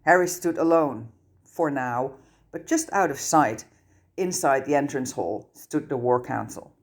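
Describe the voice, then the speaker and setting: A reader giving an expressive scripted narration of a story, as in an amateur audiobook. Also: medium pitch, unhurried. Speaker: fan fiction audiobook reader.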